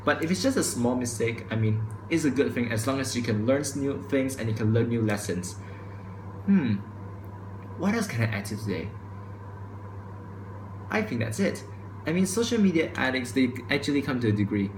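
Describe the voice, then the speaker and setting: A man's voice: mid-range voice, big pitch swings, darkish tone, slight background noise. Stuttering young man in echoey room. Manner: stutters and restarts, says he speaks too quickly. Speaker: student vlogger. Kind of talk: casual opinion monologue to camera. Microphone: camera microphone. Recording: echoey room with faint ambience.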